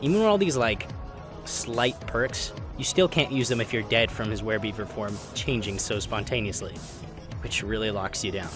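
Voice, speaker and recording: A man's voice: fairly low pitch, moderate pace. A man narrating a game explainer voice-over in an informal, opinionated, emphatic way, with casual asides. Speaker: gaming video narrator. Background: background music.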